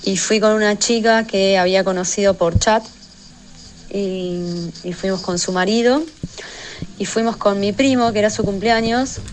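A woman's voice: medium pitch, unhurried. A woman in her thirties with an Argentine accent telling a personal anecdote in a recorded voice message. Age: 30s. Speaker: listener sending an audio message to a radio show. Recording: noisy voice message with background ambience.

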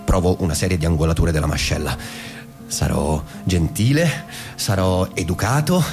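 A man's voice: low-pitched, lively intonation, moderate pace. An actor playing a dreamy, restless young man who delivers a dramatic monologue about his schoolboy escape fantasies in a radio play. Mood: dreamy, earnest. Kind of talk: dramatic first-person stage monologue for radio. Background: music.

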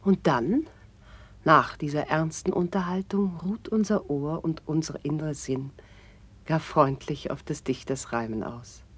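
A woman's voice: rumbling low, slightly rough, heavily acted. An actress playing a graceful, witty noblewoman and confidante, declaiming formal verse lyrically in a classical radio drama.

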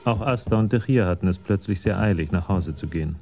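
A man's voice: low voice, fast, dark tone, soft background music. A man giving sober scripted narration as the narrator of a German radio crime story.

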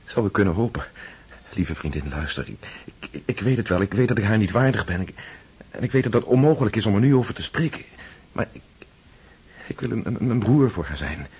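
A man's voice: on the low side, big pitch swings, a little mellow, husky, full character voice. A stammering lovesick nobleman. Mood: pleading. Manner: halting, stammering, earnest. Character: young nobleman, anxious and lovesick. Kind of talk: radio play acting.

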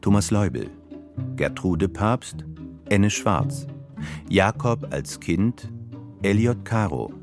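A man with a deep voice makes formal, scripted radio announcements. His delivery is measured and very unhurried. Plucked string music plays clearly behind him. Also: very animated.

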